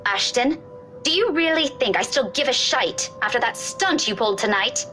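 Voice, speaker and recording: A woman's voice: a little high, lively intonation, moderate pace, pushed and bright, faint music behind, poor audio. A woman voicing a sarcastic, exasperated young woman in a visual novel. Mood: stern. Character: young woman, sharp-tongued, fed up. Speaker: game narrator and player.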